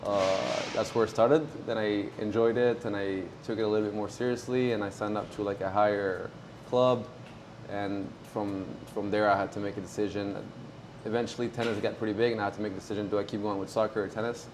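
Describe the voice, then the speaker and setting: A man in his twenties from Morocco, a pro tennis player talking casually in an interview, relaxed and halting with many fillers. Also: on the low side, background noise. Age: 20s.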